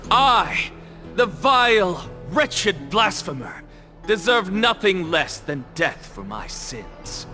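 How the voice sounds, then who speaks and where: An actor playing a defiant scholar in a video game, speaking in a grandiose, taunting, declamatory manner. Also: male voice, sits high, sing-song, takes it slowly, full character voice. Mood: dramatic, defiant. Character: theatrical defiant male scholar confessing blasphemy. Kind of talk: video game cutscene voice acting. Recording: background music behind the voice.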